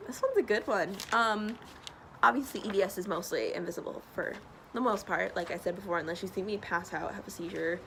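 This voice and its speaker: A young woman with a stuffy nose talks earnestly through a casual vlog, filling pauses with ums. Her voice carries a gravelly fry. She records outdoors, and clear traffic noise runs behind her. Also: mid-range voice. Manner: chatty, earnest, many fillers. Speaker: vlogger. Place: outdoors by a loud road. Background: passing traffic.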